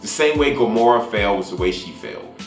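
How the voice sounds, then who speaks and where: A man's voice: on the low side, animated, fairly quick. Rambling man in a roomy, echoey space. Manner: rambling, repeats words while searching for the next one. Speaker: movie reviewer, channel host. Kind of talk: unscripted casual spoiler recap and review. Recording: roomy echo, faint music behind.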